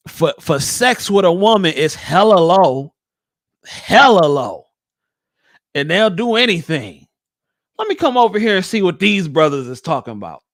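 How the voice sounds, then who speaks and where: Animated high-voiced man hosting a talk show. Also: no room sound, clean studio sound. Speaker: show host. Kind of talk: casual opinionated discussion.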